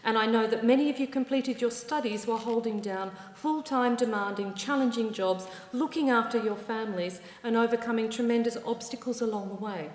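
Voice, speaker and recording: Australian woman, ceremony speech, measured and warm, big echoey hall. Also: fairly high pitch. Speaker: university ceremony presider. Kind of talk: formal ceremony address.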